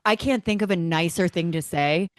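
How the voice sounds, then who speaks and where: Lower-pitched woman in casual race chat. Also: moderate pace, dry recording, clean studio sound. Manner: enthusiastic, conversational. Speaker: motorsport podcast co-host.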